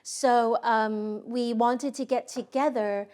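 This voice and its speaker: A woman's voice: a little high, fairly even intonation, slow, a little mellow, smooth. A woman, a guest on a talk show, explaining her language school earnestly and often agreeing with "right, exactly". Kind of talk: casual interview conversation.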